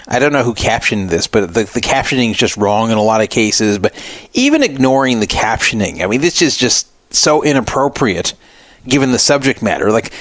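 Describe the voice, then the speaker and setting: A man's voice: mid-range voice, no room sound. A man hosting a radio show, giving casual critical commentary in a sarcastic, mocking manner.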